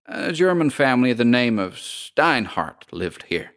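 A man voicing a coolly unbothered landlady of a haunted boarding house, with a metallic voice and a slow folksy drawl. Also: mid-range voice, sing-song, fairly bright tone, voice pushed up.

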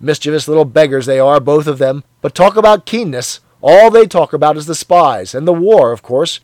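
A man voicing a hearty, sweaty, zealous middle-aged man who talks in a loud, cheery, blustering way. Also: on the high side, expressive, moderate pace, dry recording, close to an everyday voice. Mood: boastful.

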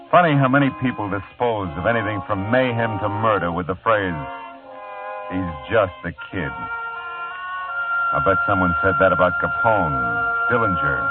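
An actor playing a dry, wry male private detective in a 1950s radio play, with clipped, world-weary narration. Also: sits low. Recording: tinny old radio broadcast with music.

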